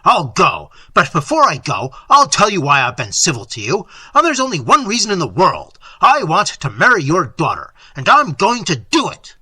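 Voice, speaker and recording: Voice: mid-range voice, huge pitch swings, unhurried, pushed and bright, breathy edge, dry recording, full character voice. A narrator voicing an earnest, indignant young male suitor, boldly defiant and emphatic, in a story reading. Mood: fierce, defiant. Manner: emphatic, hissing, declamatory.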